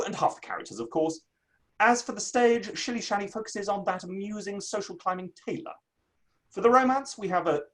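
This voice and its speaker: A man playing a pompous, self-satisfied Victorian playwright in a theatrical dramatic reading. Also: on the high side. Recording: online reading from home setup.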